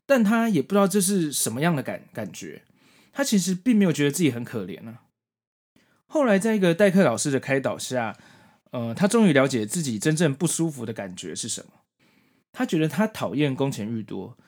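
A man's voice: a little high, darkish tone. A man hosting a podcast, narrating and commenting on a story from prepared notes in an explanatory way. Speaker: podcast host.

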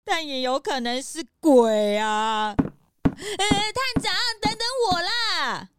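A woman voicing an excitable, easily frightened young sidekick who complains and exclaims in a children's audio detective drama. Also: high voice, slow pace, heavily acted. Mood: nervous.

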